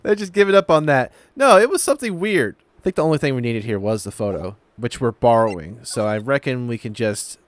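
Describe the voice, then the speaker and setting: A man's voice: mid-range voice, expressive, a little fast, hardly any pauses. A man hosting a let's play with casual, playful, wisecracking commentary. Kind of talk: casual joking game commentary.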